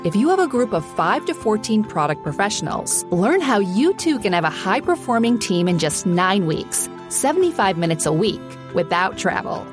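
A woman reading a scripted promo in a smooth, persuasive announcer style. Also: mid-range voice, sing-song, normal speed, few pauses, music in the background. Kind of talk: scripted ad read.

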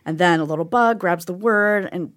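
A woman's voice: on the low side, moderate pace. A woman hosting a casual podcast chat from a studio, upbeat and explaining things in detail. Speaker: podcast host. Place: recording studio.

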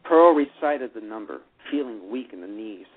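A man narrating a radio play, reading haltingly with frequent restarts. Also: on the low side, expressive. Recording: tinny phone-line radio broadcast.

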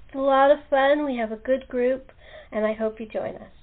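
Phone-quality lady host chatting casually, kinda thin narrow sound, voice sits a bit high. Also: steady tone, moderate pace. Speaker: podcast host. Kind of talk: casual chat.

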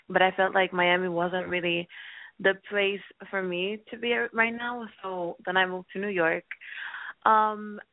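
A woman in her twenties from Finland, a guest entrepreneur, answering with many pauses and restarts. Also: on the low side, gravelly, no room sound. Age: 20s. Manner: hesitant, many ums and restarts. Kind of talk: casual interview answers. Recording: tinny phone line.

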